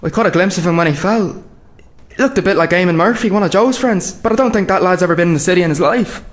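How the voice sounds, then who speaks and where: A male actor plays a watchful young Irish sniper in a radio drama. His voice is slightly high, speaking in short, intent phrases. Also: quick pace, lightly acted. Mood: tense, hesitant. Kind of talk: radio play acting.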